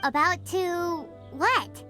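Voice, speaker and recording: A woman's voice: drawn-out words, exaggerated voice. A woman voicing a small, childlike, cheeky and eager fairy companion in a video game. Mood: curious. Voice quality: squeaky, childlike. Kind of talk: video game character acting. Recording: game music behind the voice. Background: playful game music.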